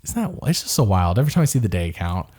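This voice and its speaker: A man's voice: sits low, sing-song, very fast, darkish tone, breathy edge. A man co-hosting a podcast, talking through a reality show in casual chat with restarts and laughs. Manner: rambling, with restarts and frequent laughs. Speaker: podcast co-host.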